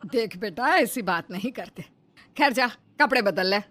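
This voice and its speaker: A woman voicing a sharp-tongued but caring middle-aged mother-in-law in a comic family story, questioning and chiding in a motherly way. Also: medium pitch, lively intonation, moderate pace, slight background noise. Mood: gently chiding.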